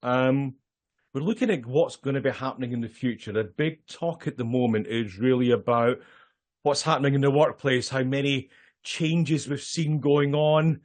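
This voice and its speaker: A man with a voice of middle pitch hosts the interview. His questions come out friendly and a bit halting. His tone stays relaxed and conversational. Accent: non-Australian. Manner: friendly and halting, with fillers and restarts. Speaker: host. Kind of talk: casual interview hosting.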